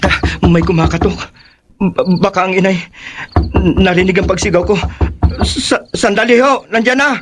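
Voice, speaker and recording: A performer playing a frightened, evasive boy who stammers haltingly, in radio drama style. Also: medium pitch, background noise, close to an everyday voice. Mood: terrified.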